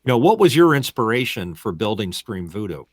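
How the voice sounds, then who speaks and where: A man in his sixties, a producer, speaking in a measured, reflective way with hesitant restarts. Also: on the low side, sing-song, no room sound, clean studio sound. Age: 60s. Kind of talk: conversational interview, asking questions.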